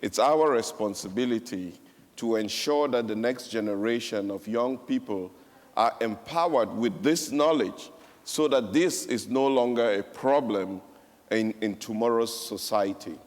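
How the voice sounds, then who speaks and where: A man's voice: medium pitch, a little slow. A man from Cameroon speaking on a panel, telling a story with emphatic repetition. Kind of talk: panel speech. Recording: echoey hall.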